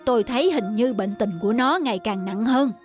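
A woman voices a practical, worried wife in a folk-tale cartoon. Her voice sits fairly high as she urges her point. Music plays clearly behind her. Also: a little fast, close to an everyday voice. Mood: concerned, insistent. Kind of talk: cartoon dubbing, expressive storytelling.

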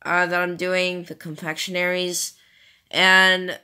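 A tired young woman rambling casually through an intro and outro, full of fillers. She speaks slowly, with a bright tone. Her voice is picked up close on a phone. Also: fairly low pitch, little pitch movement, smooth. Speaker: amateur comic dubber. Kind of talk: casual chat.

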